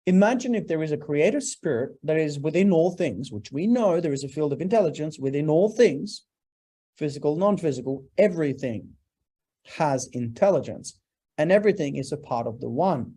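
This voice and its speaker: Forties male guest from Norway, mellow tone, slightly higher pitch, earnest and preacherly. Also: expressive, moderate pace, breathy edge. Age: 40s. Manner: earnest, preacherly, emphatic lists. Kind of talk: interview, motivational talk.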